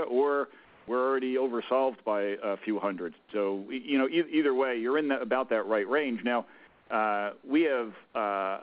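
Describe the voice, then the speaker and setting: A man with a cold, sounding croaky, answering analyst questions on an earnings call with many halting restarts. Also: fairly low pitch. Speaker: company executive answering analysts. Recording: tinny phone line.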